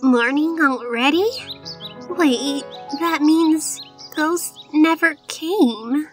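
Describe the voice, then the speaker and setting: Woman voicing high-pitched, theatrical pony showgirl. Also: very slow, soft background music. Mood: worried, wistful. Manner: haughty, melodramatic, speaks of self in third person. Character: vain, theatrical young female unicorn pony magician. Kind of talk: cartoon comic dub acting.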